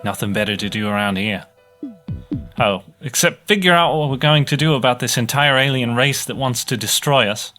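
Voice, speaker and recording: A man's voice: mid-range voice, normal speed, bright, forceful tone, lightly acted. A young man acting as a bitter, overworked student technician in a sci-fi audio drama, griping sarcastically through a monologue. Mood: sarcastic, aggrieved. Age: young adult. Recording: music behind the voice.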